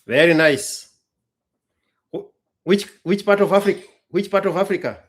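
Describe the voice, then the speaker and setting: A man who makes music talks in a casual, hesitant way, often stumbling and restarting his sentences. His voice sits a little high. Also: unhurried. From Kenya. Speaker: musician playing songs for strangers. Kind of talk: casual chat.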